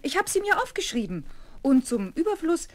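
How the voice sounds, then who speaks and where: A woman playing a kindly, patient governess in a children's radio play, affectionate and gently teasing as she reassures her charge. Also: sits high, big pitch swings, normal speed. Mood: reassuring. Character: kindly, patient young governess of a little girl. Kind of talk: 1970s German children's radio play acting.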